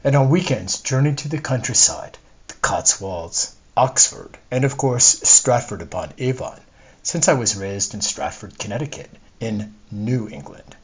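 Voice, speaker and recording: A man's voice: medium pitch, expressive, measured pace, husky, pitched above the performer's own voice. A man reading a literary monologue as a wistful, discontented middle-aged wife, confiding and opinionated, in his own everyday voice. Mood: fond nostalgia. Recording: dull lo-fi recording.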